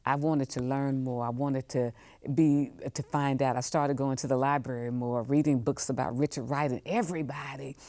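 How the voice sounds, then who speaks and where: A woman's voice: rumbling low, steady tone, moderate pace, dark tone, no echo. A woman speaks reflectively as an interview guest, repeating phrases for emphasis. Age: 60s. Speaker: museum professional, podcast guest.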